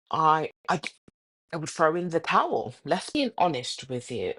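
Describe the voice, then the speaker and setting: Chatty congested young woman. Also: deep voice. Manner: chatty, bubbly, self-correcting. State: congested with a cold. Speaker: podcast host. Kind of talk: casual solo podcast chat.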